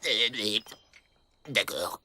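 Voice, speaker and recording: An actor playing a short, gruff, gullible cartoon outlaw in love, with a hesitant, bashful way of talking. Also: male voice, fairly low pitch, drawn-out words, full character voice. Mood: smitten, hesitant. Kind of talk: animated cartoon dubbing. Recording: loud music behind the voice.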